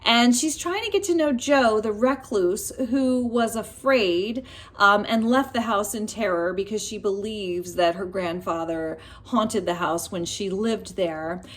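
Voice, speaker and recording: A woman talking casually and expressively about books and telling personal stories, often pausing mid-thought as a vlogger does. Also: mid-range voice. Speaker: book reviewer vlogger. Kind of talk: casual chatty book review and personal storytelling.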